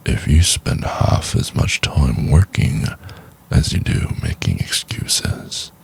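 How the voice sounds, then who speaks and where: A man playing a cold, domineering, contemptuous chief executive in an ASMR roleplay, speaking in a husky, condescending, deliberate half-whisper. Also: a bass voice, a little mellow, exaggerated voice. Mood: scornful. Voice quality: husky, gravelly. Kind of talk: intimate ASMR roleplay, acted monologue.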